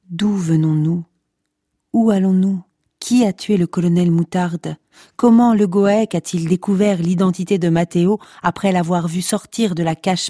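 An actor playing a mock-dramatic, deadpan narrator of a comic podcast fiction, speaking conspiratorially. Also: male voice, on the high side, no room sound, clean studio sound. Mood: mock solemn. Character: mock-solemn tongue-in-cheek noir narrator.

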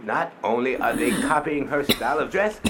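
A man playing an excitable radio reporter who gives theatrical live commentary in English from a society gala. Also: medium pitch, moderate pace, close to an everyday voice. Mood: excited suspense. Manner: theatrical, dramatic emphasis. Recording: loud crowd noise behind. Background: crowd.

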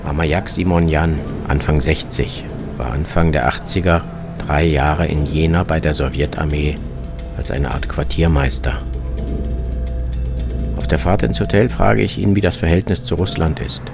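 Very deep-voiced man narrating over music. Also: vocal fry. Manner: terse, fragmentary, deliberate phrasing. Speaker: author narrating his own journey. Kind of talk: literary radio travel narration, scripted. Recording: music bed under the voice.

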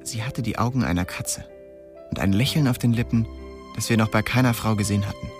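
A man's voice: on the low side, fairly quick, music in the background, natural voice. An actor playing a young streetwise man who recounts his small-town youth colloquially in a radio drama. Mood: wry storytelling. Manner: colloquial, storytelling. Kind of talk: radio drama first-person narration.

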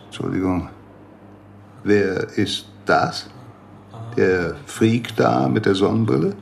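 An actor playing a grumpy, opinionated doctor in a radio drama, scornful and lecturing in a sardonic way. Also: male voice, lowish voice, slow pace, creaky, slight background noise, exaggerated voice. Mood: disdainful, lecturing. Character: grumpy opinionated older male doctor in Mexico, scornful and mocking.

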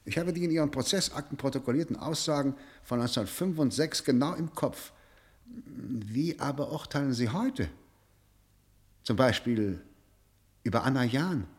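A man acts an articulate, formal questioner who keeps pressing a prisoner. His mid-pitched voice swings widely as he probes, in the style of a radio play. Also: animated, moderate pace, close to an everyday voice. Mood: searching, persuasive. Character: probing, insistent male interrogator of a prisoner.

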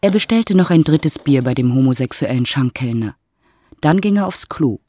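A man's voice: medium pitch, lively intonation, moderate pace, darkish tone, no echo, studio quality. A man narrating a literary radio drama in a scripted storytelling style.

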